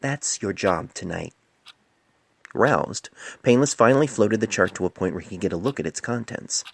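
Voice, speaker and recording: A man's voice: fairly low pitch, lively intonation, brisk, no echo. A man reading scripted story narration as an amateur narrator, recorded close on a cell phone mic. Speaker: amateur narrator reading own fan fiction.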